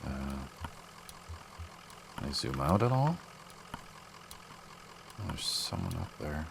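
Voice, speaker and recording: A man's voice: extremely low pitch, wildly swooping pitch, takes it slowly, creaky, background noise. A man hosting a let's play, commenting casually on a video game and reading bits of it aloud.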